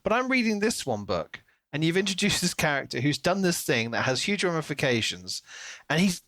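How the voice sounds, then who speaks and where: A man's voice: fairly high pitch, very animated, a little fast, fairly bright tone, dry recording. Man chatting analytically, close dry sound. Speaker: podcast co-host discussing books. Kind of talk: casual analytical chat.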